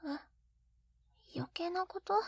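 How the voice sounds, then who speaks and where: A woman's voice: slow pace, dry recording, lightly acted. A woman playing an eager, clumsy, sweetly pouty young wife in an intimate binaural roleplay, with a cutesy, flustered delivery. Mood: sheepish, earnest. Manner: cutesy, flustered, pouting, hesitant little gasps. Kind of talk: Japanese situation-voice roleplay, intimate ASMR audio drama. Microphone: close binaural 3Dio microphone.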